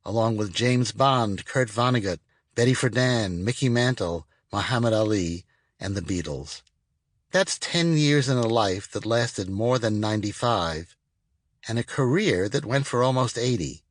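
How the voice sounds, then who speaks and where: A man's voice: medium pitch, dry recording. A man reading his own nonfiction audiobook as a scripted narration, recorded in a studio.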